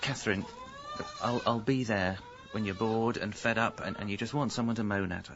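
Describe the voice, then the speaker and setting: A man's voice: fairly low pitch, fairly even intonation, normal speed, dull audio, close to an everyday voice. An actor with a British accent playing a hapless, pleading husband and father who narrates his own mishaps in a radio comedy drama, stammering and earnest.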